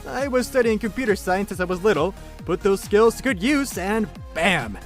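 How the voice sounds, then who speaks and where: A man's voice: high-pitched, expressive, moderate pace, soft background music. A man playing a boastful hacker convict of about thirty in a sci-fi audio drama, cocky and showy. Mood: giddy, amused. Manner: showy, self-amused, cocky. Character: brash, boastful thirty-year-old male hacker convict.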